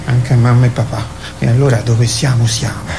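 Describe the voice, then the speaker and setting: A woman's voice: rumbling low, steady tone, moderate pace, gravelly, over music. A woman acting a young immigrant girl, dreamy and stubborn, in an Italian radio play. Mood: sleepy, worried.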